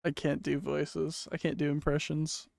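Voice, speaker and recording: A man co-hosting a podcast, speaking in a playful, halting casual chat. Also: on the high side, completely flat delivery, vocal fry, a little mellow. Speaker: podcast co-host.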